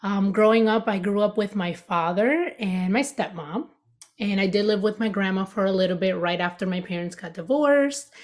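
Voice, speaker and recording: Woman, 30s, from the United States, podcast guest, casual chat, rambling, laughs a lot, middle pitch. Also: moderate pace, a little mellow. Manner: chatty, laughs often, rambling with fillers.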